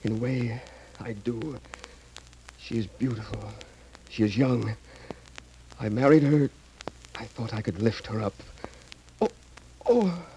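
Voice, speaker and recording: An actor playing an ailing, frightened husband in 1930s radio melodrama, halting and anguished as he gasps for breath. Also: mid-range voice, mellow. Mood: weak, wistful. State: choking, gasping, weakening. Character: ailing, fearful husband gasping out his suspicions. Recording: noisy, crackly 1930s radio recording.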